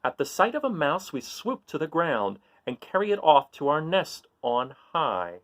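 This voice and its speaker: A man's voice: on the high side, big pitch swings, normal speed, fairly bright tone. A man hosting a children's read-aloud, reading a picture book and chatting in an encouraging way, often putting questions to his listeners.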